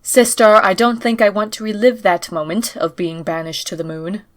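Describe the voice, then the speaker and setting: Woman doing a grumpy pony princess sister, medium pitch, blunt n sarcastic, cartoon audio drama, very exasperated. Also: flat delivery, moderate pace, lightly acted. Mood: dry, impatient. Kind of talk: scripted fan audio drama acting.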